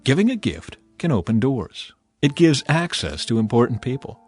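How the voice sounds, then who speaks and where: Expressive man reading scripture over music. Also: medium pitch, normal speed, husky. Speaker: audio Bible narrator.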